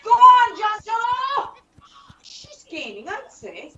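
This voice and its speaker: A wry Irish woman in a comic radio play, acted by a woman, heard at a distance in a roomy space. Her high voice is lively and unhurried, with a gleeful streak. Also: full character voice. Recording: muffled, roomy, distant microphone.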